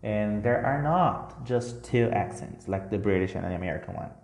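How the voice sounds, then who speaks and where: A man's voice: fairly low pitch, lively intonation, moderate pace, gravelly, a little mellow. A man giving a recorded English lesson to learners, talking casually and reassuringly. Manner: casual and reassuring, with frequent "like" and "you know". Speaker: English teacher. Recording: roomy echo with faint guitar music.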